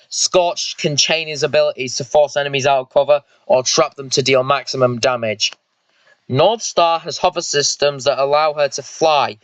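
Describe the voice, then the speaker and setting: A man's voice: fairly high pitch, clean tone, no echo, muffled recording, close to an everyday voice. A man voicing an eager, vengeful squad leader and mech pilot in an amateur sci-fi story, informal and explanatory.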